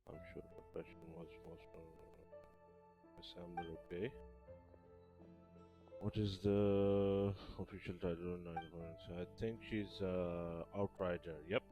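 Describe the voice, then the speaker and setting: A man's voice: low voice, steady tone, measured pace, dull audio. A man casually commenting while he plays a video game, thinking aloud in an offhand, chatty way. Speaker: gamer. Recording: game music playing behind. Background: game music and sound effects.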